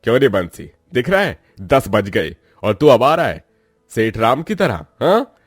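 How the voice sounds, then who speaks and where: A man voicing a harsh, stingy mill owner with a gruff, bullying manner. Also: mid-range voice, very animated, a little slow, dry recording, clean studio sound, soft background music, pitched below the performer's own voice. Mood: scolding. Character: harsh, stingy, scolding male mill boss.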